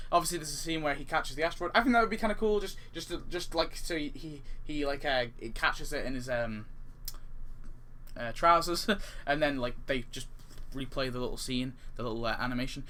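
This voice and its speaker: A man's voice: fairly high pitch, expressive, brisk, bright, forceful tone. A man giving casual, off-the-cuff gaming commentary in a rambling way, often correcting himself mid-sentence. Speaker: gaming video creator. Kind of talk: casual off-the-cuff commentary on game update ideas.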